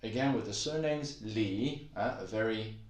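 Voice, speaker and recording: Middle-aged male language tutor, low-pitched, patient, filler-heavy; roomy echo. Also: unhurried. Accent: Taiwanese-accented Mandarin. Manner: patient, repeats himself, many fillers. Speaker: Chinese language teacher. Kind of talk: casual video lesson. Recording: echoey room.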